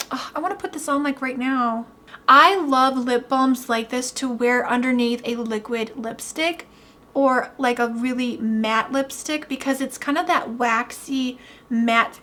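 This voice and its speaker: A young woman in a beauty unboxing vlog, gushing and excited while she is losing her voice. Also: high voice. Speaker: beauty vlogger.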